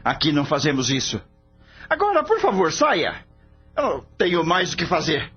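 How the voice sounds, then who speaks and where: An actor playing a kind, principled veterinarian in a radio drama, husky-voiced, earnest and expressive. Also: male voice, on the high side, normal speed, full character voice. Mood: indignant, firm. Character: kind, principled male veterinarian and devoted son. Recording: dull lo-fi audio, music behind.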